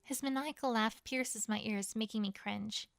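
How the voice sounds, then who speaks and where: A woman's voice: on the high side, moderate pace, vocal fry, dry recording. A woman reading a first-person fantasy story as a dramatic narration. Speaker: fan fiction reader.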